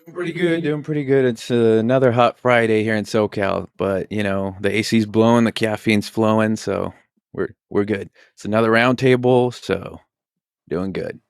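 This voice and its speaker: Male American guest, casual talker, low with vocal fry, recorded dry and close. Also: moderate pace, dry recording. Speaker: guest on a gaming stream. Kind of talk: casual chat.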